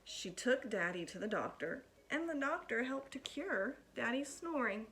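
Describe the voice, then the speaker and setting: A woman reading a picture book aloud to children in an expressive, deliberate storytelling manner, like a teacher addressing pupils. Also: on the high side, a little hiss or hum, low-quality recording.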